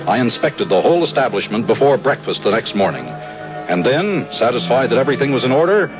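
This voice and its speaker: An actor playing a firm, courteous army lieutenant colonel, crisp and measured, narrating and speaking in 1950s radio drama style. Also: male voice, medium pitch, natural voice. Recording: dull 1950s radio broadcast with music.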